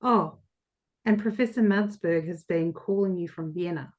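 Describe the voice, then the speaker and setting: A woman playing a brisk, no-nonsense and slightly dim hospital assistant in a comic play, matter-of-fact and nagging. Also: lowish voice, darkish tone, muffled recording, natural voice. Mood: brisk, exasperated. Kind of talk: comic stage play reading.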